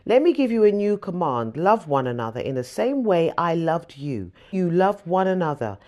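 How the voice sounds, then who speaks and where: A woman's voice: lowish voice, big pitch swings, normal speed, darkish tone. A woman reading a Bible story as audiobook narration, expressively, with emphasis on the dialogue. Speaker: scripture reader.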